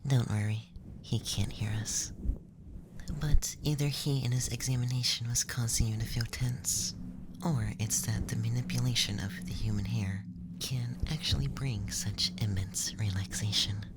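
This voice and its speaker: A man voicing a cool, analytical alien scientist in a whispered ASMR roleplay, measured and detached with a sly teasing streak. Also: medium pitch, fairly even intonation, close to an everyday voice. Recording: loud background noise and ambience.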